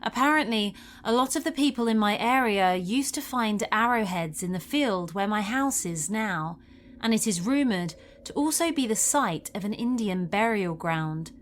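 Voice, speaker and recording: A woman's voice: medium pitch, animated, moderate pace, a little hiss or hum. A woman reading a scripted first-person paranormal story as a narrator.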